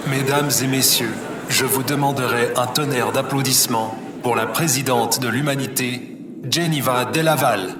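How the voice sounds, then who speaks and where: An actor playing a formal master of ceremonies making an announcement in an audio drama. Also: male voice, mid-range voice, normal speed, close to an everyday voice. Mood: ceremonious. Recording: echoey hall, very noisy.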